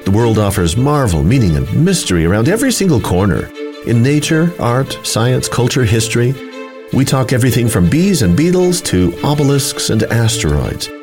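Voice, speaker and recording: A man's voice: mid-range voice, sing-song, moderate pace. A man narrating a scripted promo as a podcast host. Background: music.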